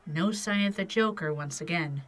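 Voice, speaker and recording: A woman's voice: lowish voice, normal speed. A woman narrating an audio drama from a script as its storyteller.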